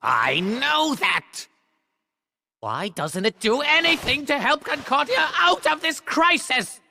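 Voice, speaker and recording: An actor playing a petulant, tyrannical king in a video game, shrill, imperious and impatient. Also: sing-song, slow pace, sharp tone, heavily acted. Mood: impatient, frustrated. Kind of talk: dramatic video game acting. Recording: long hall reverb.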